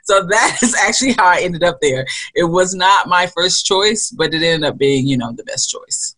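A woman's voice: lowish voice, expressive, normal speed, fairly bright tone, low-quality recording. A woman in her forties from Mississippi, answering interview questions in a rambling way, searching for words. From Mississippi, USA. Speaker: podcast guest.

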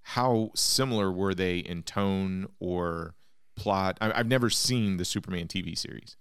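A man's voice: low voice, unhurried, a bit bright, smooth, no room sound, clean studio sound. A man hosting a film podcast, enthusiastic and a little rambling as he introduces topics and asks questions. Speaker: podcast host.

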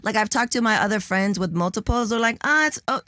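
A woman hosting a casual interview, enthusiastic and expressive, laughing often. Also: medium pitch, fast, no echo, clean studio sound. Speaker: interview host.

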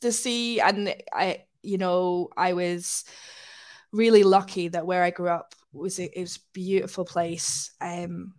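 A woman's voice: on the low side, little pitch movement, a little slow, a little mellow. A woman from Scotland, a podcast guest, chatting casually and reflectively in an interview.

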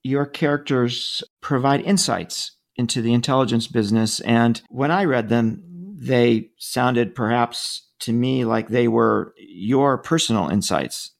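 A male interviewer asking questions and reading book passages aloud in a measured way. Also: mid-range voice, creaky.

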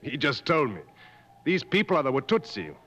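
An actor playing a seasoned, take-charge safari guide, matter-of-fact, in 1950s radio drama style. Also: male voice, medium pitch, huge pitch swings, normal speed, a bit bright. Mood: impressed. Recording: muffled old 1950s radio broadcast.

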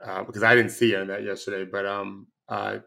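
A man who coaches basketball, co-hosting a sports podcast and giving blunt, loud opinions in a casual way. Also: fairly low pitch, little pitch movement, fast, gravelly.

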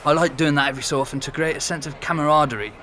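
An actor playing a sociable young former housemate, chatty and frank, in a naturalistic audio drama. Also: male voice, fairly high pitch, steady tone, racing, lightly acted. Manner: chatty, conversational. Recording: faint pub background noise.

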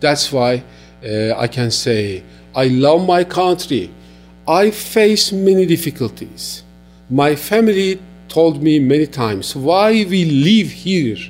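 A man from Turkey with a Turkish accent, speaking halting, earnest non-native English as an interview guest giving testimony. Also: on the high side, animated, a little mellow, soft background music.

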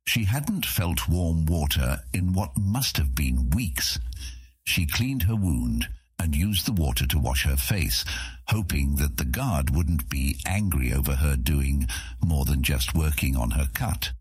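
Low raspy guy narrating a fantasy audiobook, really dry studio sound. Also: animated, unhurried, no room sound.

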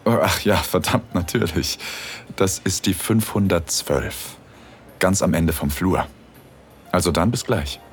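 A man playing a relaxed, teasing, seductively commanding husband in an erotic audio drama. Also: deep voice, measured pace, slight background noise. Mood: casual.

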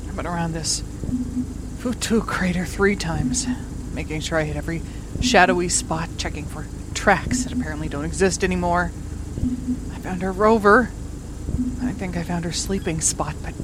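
A woman's voice: lowish voice, moderate pace, lightly acted. An actress playing an irritated, sarcastic woman who drives a rover alone and mutters and swears to herself, in naturalistic audio drama. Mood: weary, frustrated. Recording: clear vehicle noise, rover cabin ambience.

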